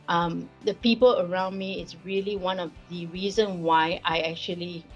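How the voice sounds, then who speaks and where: A woman's voice: lowish voice, lo-fi sound. A woman from Singapore with a Singaporean accent, talking casually as a risk advisory partner in a workplace interview. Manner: friendly, conversational with fillers.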